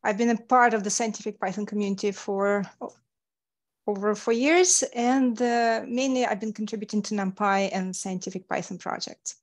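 A woman from Ukraine introduces herself on a video call, her voice mid-pitched and even. She speaks in a friendly, businesslike way. The sound comes through a headset mic. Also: normal speed. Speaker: open source program manager.

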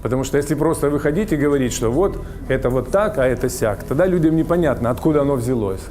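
A man's voice: mid-range voice, lively intonation, fast, warm tone, a little hiss or hum. A man teaching English, lecturing an audience on his method in an emphatic, explaining way and repeating his key points.

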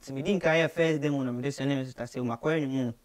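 A man's voice: medium pitch, steady tone, fast. A Ghanaian man in his twenties with a Ghanaian accent, chatting casually as a studio guest in mixed Twi and English. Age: 20s. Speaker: interview guest, musician. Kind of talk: casual chat, switching between Twi and English. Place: small studio office, indoors.

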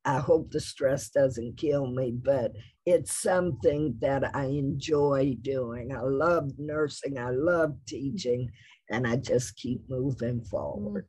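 Middle-aged woman presenter, raspy, deliberate slow delivery, video call. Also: rumbling low, warm tone, poor audio. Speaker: conference presenter. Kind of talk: Q&A after a talk. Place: office.

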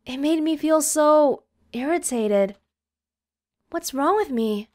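A woman voicing a shy, self-reproaching, gentle schoolgirl from a visual novel, soft and hesitant. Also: high voice, lively intonation, takes it slowly, pitched above the performer's own voice. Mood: distressed, ashamed. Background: soft game music.

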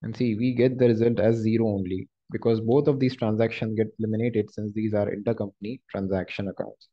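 A man working as a software trainer, explaining a screen-share tutorial step by step in a halting, explanatory way. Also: lowish voice, steady tone. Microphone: screen-recording voice-over. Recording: dull lo-fi voice recording.

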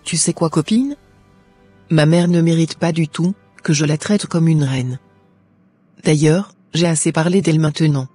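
A woman's voice: low voice, moderate pace, dark tone, husky, faint music behind. A woman voicing a resentful, ungrateful young student daughter in a cartoon, complaining with scorn.

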